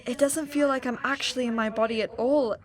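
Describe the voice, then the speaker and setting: A woman plays a resolute otherworldly ally held captive in an audio drama. The voice is fairly high, composed and purposeful. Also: steady tone, fairly quick, lightly acted. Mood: grave, resolute.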